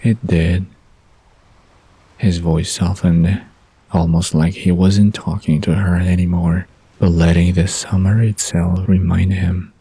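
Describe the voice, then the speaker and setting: A man narrating a scripted bedtime story in a soft, dreamy manner. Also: sits low, little pitch movement, a little slow, slightly rough.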